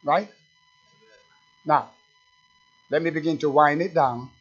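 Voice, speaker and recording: High-voiced pastor preaching with fire. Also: male voice, slightly rough. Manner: swings from low asides to loud preaching; reads scripture slowly and with emphasis. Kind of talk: fiery sermon. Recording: dull, lo-fi recording.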